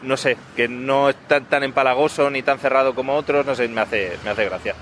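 A man's voice: mid-range voice, a little fast, a little hiss or hum. A man, chatting relaxedly in a street interview. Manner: relaxed, laughs a little, restarts. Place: city sidewalk outdoors. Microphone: handheld interviewer mic.

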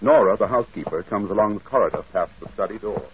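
A man's voice: fairly low pitch, quick pace, noisy background. A man announcing and narrating an old radio drama in scripted style. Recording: muffled old radio broadcast. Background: music.

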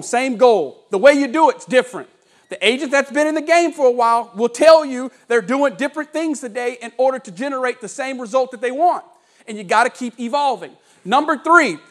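Man, 40s, motivational sales coach, preachy storytelling with dramatic pauses, lapel mic, office training room. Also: extremely high pitch, fairly bright tone. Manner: walks about and gestures while speaking.

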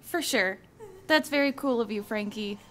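A woman's voice: a little high, normal speed, a bit bright, a little hiss or hum, natural voice. A young woman playing an eager, caring female college student in an audio drama. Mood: earnest. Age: young adult. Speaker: student actor.

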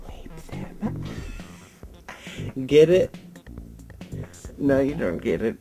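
A sleepy young man lying on a floor, talking in a drowsy, rambling, deadpan way into a nearby webcam. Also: medium pitch, extremely slow, faint music behind. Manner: drowsy, mumbling, deadpan with sudden laughs. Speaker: vlogger. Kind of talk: rambling late-night webcam monologue. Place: lying on a floor in a room indoors.

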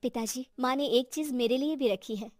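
A woman's voice: sits high, normal speed, a little mellow, no room sound, studio quality, natural voice. A woman playing a caring, respectful eldest daughter-in-law in a cartoon, polite and composed.

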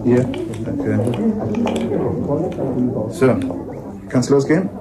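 A man's voice: mid-range voice, expressive, drawn-out words, over a noisy crowd, low-quality recording. A man playing a courteous, eager radio reporter on location in a radio drama, speaking slightly formally and chattily. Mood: businesslike. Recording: clear background noise, location ambience.

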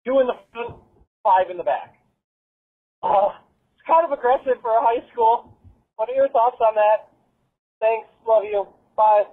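A man's voice: very high voice, unhurried, long pauses. A man leaving a casual, hesitant voicemail message over a lo-fi phone line. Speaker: voicemail caller, high school teacher.

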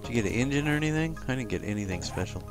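A man's voice: medium pitch, expressive, very fast. A man chatting casually as he co-hosts a co-op video game session. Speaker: gaming video co-host. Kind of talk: casual co-op gameplay chat. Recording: background music over the voice. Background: loud music.

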